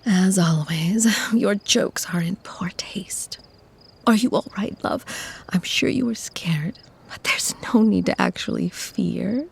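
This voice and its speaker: A woman playing a protective, possessive female vampire who speaks softly and tenderly to her mortal lover in an audio roleplay. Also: mid-range voice, takes it slowly, full character voice. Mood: concerned, mildly scolding. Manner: soft, soothing endearments, breathy, genteel. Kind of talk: scripted ASMR audio roleplay.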